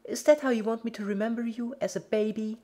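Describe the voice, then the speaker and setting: A manic young cartoon pony villainess, as a woman narrator puts her on, pitched far up. She is bubbly and chatty, with a petulant, scolding streak under the cheer. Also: quick pace, warm tone, natural voice. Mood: pouty, indignant.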